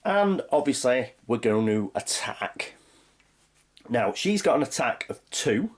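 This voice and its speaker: A man's voice: mid-range voice, very animated, slow pace. A man with a heavy cold and a congested voice, hosting his channel and talking through a board game turn by turn, explaining each rule as he goes. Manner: chatty, explains rules step by step. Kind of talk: playthrough commentary of a board game.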